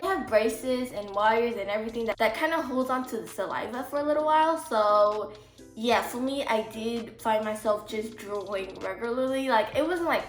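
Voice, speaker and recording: A woman vlogger giving casual advice in a rambling, chatty way, with a slight lisp from her braces. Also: fairly high pitch. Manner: chatty, rambling, heavy use of "like". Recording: echoey room with faint music.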